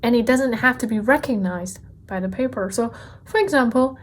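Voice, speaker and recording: A woman whose first language is not English, giving a gentle, encouraging tarot card reading and repeating key phrases. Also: on the high side, moderate pace. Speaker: tarot reader.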